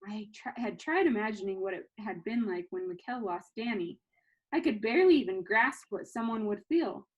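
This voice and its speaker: A woman reading a children's novel aloud in an animated, expressive storytelling manner. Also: mid-range voice, moderate pace, husky, muffled recording. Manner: animated, acts out dialogue.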